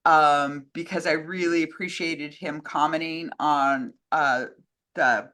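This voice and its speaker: A woman's voice: low-pitched, steady tone, slow pace, a bit bright. A woman co-hosting in a casual chat, speaking in a hesitant, slightly apologetic way. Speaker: co-host relaying audience questions and comments.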